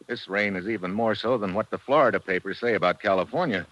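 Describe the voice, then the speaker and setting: An actor playing a wry, tough American secret agent in a radio drama, with a sardonic, laconic delivery. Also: male voice, lowish voice, fast talker, a bit bright, muffled recording, lightly acted. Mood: dryly casual. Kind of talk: 1950s radio acting.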